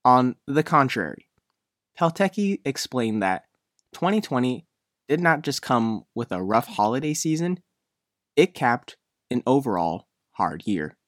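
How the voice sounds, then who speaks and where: A man narrating a scripted news feature as a reporter, speaking in measured, deliberate phrases. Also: mid-range voice, very animated, fairly bright tone, dry recording, studio quality.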